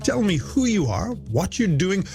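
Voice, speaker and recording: A man's voice: high-pitched, very animated, normal speed, creaky, darkish tone. An Irish man presenting a late-night music radio show in a polished, theatrical way with flowery phrasing and rhetorical questions, over a music bed.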